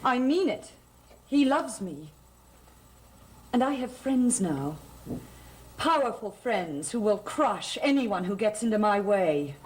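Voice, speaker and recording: A woman's voice: mid-range voice, sing-song, takes it slowly, long pauses, low-quality recording. An actress playing an earnest, timid young woman with menacing resolve in a gothic soap opera, sincere and intense. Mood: defiant. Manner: sincere, hesitant yet intense. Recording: dramatic music behind the voice.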